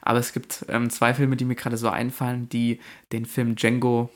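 A man's voice: medium pitch, monotone, normal speed. A man co-hosting a German film podcast, talking casually and hesitantly with frequent fillers and restarts. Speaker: podcast co-host.

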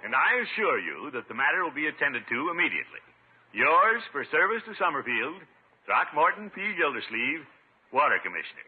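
An actor playing a blustery, self-important middle-aged commissioner with a pompous, exclamatory manner in a 1950s radio comedy. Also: male voice, fairly high pitch, big pitch swings, fairly quick, phone-quality sound. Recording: thin, band-limited 1950s radio, faint noise.

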